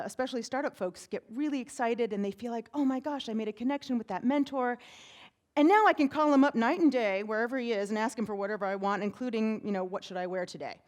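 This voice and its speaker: A woman with a big, carrying voice addresses a conference audience from the stage. Her delivery is energetic and conversational, with frequent "right?" checks to keep listeners with her. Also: fairly high pitch. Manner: energetic, chatty, uses many "right?" tags. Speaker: conference speaker, PR firm president. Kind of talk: conference talk.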